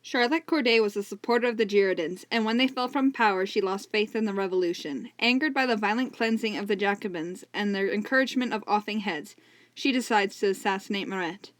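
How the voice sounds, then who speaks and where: A woman's voice: mid-range voice, fairly quick. A woman reading scripted history narration as the narrator of a puppet show.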